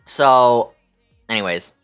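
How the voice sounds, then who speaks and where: A man's voice: mid-range voice, slow pace, a bit bright, smooth, soft background music. A man chatting casually on a livestream in a sassy, campy, slangy way peppered with swearing. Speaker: live streamer.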